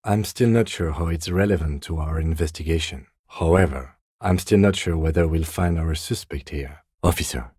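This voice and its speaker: A man acting a reserved, dutiful police detective in a video game, speaking in a measured, formal, deadpan way with dry courtesy. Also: very deep voice, husky, no room sound. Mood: cautious, quietly insistent. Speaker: voice actor.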